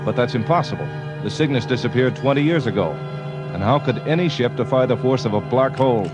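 A decisive spaceship captain played by a man talks briskly and firmly in a mid-range voice. It is a storybook space drama with clear music underneath. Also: medium pitch, lively intonation, lightly acted. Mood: puzzled.

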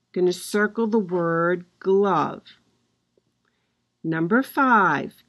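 Patient woman teacher, talks very slowly, drawing out each step for young learners. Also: mid-range voice. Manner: very slow, patient, drawn-out instructions. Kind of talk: guiding children through a worksheet.

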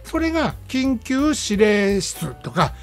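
Give the voice of a Kansai-accented radio host talking through a film review. The delivery is casual and explanatory, peppered with little tag particles that check in with the listener. The pitch sits in a middle range, with music playing behind. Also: big pitch swings, takes it slowly. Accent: Kansai Japanese. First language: Japanese. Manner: conversational, explanatory, frequent "ne" tags. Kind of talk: casual movie review monologue. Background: background music.

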